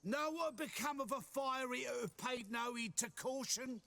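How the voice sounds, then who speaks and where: A man's voice: extremely high pitch, normal speed, bright, forceful tone, exaggerated voice. An actor playing a drunken, cowardly male fire eater in a video game, blustering and defensive. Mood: indignant.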